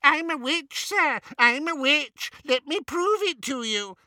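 A man doing a mocking, squeaky impersonation of a pleading witch in an audiobook. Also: animated, slow pace, bright, forceful tone, no echo, studio quality, heavily acted. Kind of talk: audiobook character voice.

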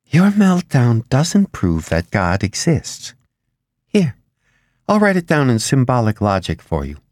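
Man putting on a higher-pitched, flighty, argumentative woman. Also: huge pitch swings, normal speed, no room sound. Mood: pedantic. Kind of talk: audiobook character dialogue.

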